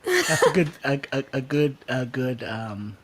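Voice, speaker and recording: A man with a mid-pitched voice hosts a casual livestream podcast. He speaks haltingly and informally, often searching for the next word. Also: lively intonation, a bit bright, slightly rough. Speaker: podcast host.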